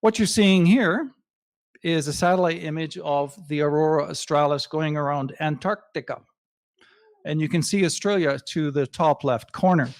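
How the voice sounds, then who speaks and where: A man with a fairly high voice lectures to an astronomy club audience over his slides. English is his second language, and he speaks in a measured, emphatic way, pausing between phrases. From Canada. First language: not English.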